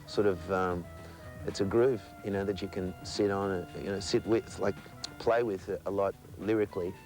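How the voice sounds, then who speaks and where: A man's voice: deep voice, steady tone, normal speed, music in the background, rough recording. A man, a poet, searching for words in an informal documentary interview.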